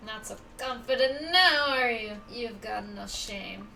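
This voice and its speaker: A woman streamer with a high voice reacts casually to a game, talking back to it at a slow pace. She sounds set back from the mic, with faint noise behind. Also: vocal fry, pushed and bright, recorded from a distance, poor audio.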